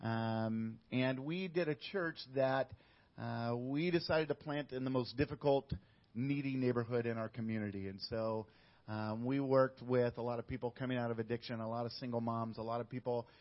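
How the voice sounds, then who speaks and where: A middle-aged man, a missionary guest, telling his story in a casual interview with frequent pauses and fillers. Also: medium pitch. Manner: steady, with many uh fillers and restarts. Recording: dull lo-fi audio.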